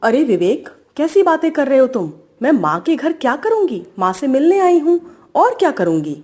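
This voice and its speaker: Casting: female performer as whiny, back-talking young daughter-in-law, highish voice, defiant temper, domestic story drama. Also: sing-song, normal speed, darkish tone. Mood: defensive.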